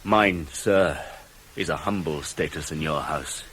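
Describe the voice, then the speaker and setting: An actor playing an anguished servant messenger in a Greek tragedy, with a measured, declamatory, intense delivery for radio drama. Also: male voice, a bass voice, fairly bright tone, husky, exaggerated voice. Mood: grieving, solemn. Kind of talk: theatrical verse recitation for radio drama.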